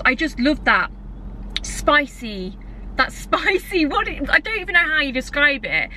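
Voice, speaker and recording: A woman's voice: a little high, bright, forceful tone, a little hiss or hum, poor audio. Chatty young woman with a blocked nose. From England. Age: young adult. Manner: chatty and gushing, talks while eating. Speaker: lifestyle vlogger. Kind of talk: casual chat to camera. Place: parked car, then a home kitchen. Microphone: camera mic at arm's length.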